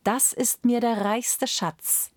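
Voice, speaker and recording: A woman voicing a small grey nightingale that speaks gently and modestly. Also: on the high side, fairly even intonation, slow, dry recording, clean studio sound, voice pushed up. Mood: humble.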